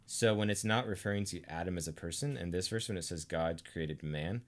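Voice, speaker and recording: An American man from Arkansas teaching theology conversationally, speaking deliberately and emphatically with pauses for effect. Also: low-pitched. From Arkansas, United States. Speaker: teacher and co-host of a theology series.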